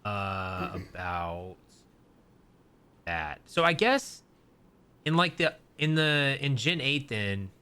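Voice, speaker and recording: A man's voice: medium pitch, big pitch swings, slow, long pauses, pushed and bright, smooth. A young man co-hosting a podcast in casual chat, rambling with many fillers and restarts. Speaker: podcast co-host.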